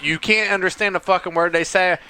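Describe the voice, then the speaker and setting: A man hosting a podcast in casual comedic banter, laughing often and breaking into loud joking song. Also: high voice, steady tone, a little fast, a little hiss or hum. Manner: laughs a lot, bursts into loud joking singing. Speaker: podcast host.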